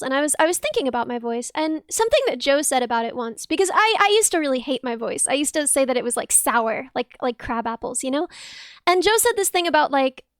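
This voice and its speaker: A young woman streaming and chatting casually with viewers, a bubbly talker with a high, dense, slightly piercing voice who bursts into excited thanks. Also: no echo, studio quality. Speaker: streamer and musician. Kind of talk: casual livestream chat with viewers.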